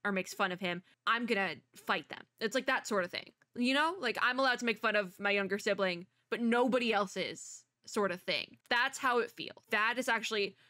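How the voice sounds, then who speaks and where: A woman's voice: medium pitch, normal speed, few pauses, bright, forceful tone, no echo, studio quality. A woman streamer giving a casual, chatty reaction in a bubbly, rambling, self-deprecating way. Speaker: streamer reacting to a game trailer.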